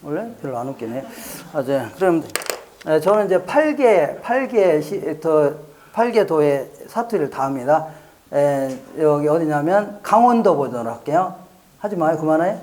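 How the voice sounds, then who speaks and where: A man's voice: fairly high pitch, expressive, normal speed, a little mellow, a little hiss or hum. A man from the Chungcheong region teaching an exam prep lecture, playful and jokey, quizzing listeners with rhetorical questions and answering them himself. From Chungcheong region, Korea. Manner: playful, jokey, drills mnemonics by repetition.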